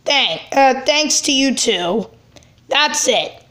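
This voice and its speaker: An indignant cartoon brother, voiced by a woman, talks slowly in a high register. The delivery is halting, with phrases repeated, and full of concern. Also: animated, fairly bright tone, exaggerated voice. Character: indignant, worried cartoon brother scolding two troublemakers.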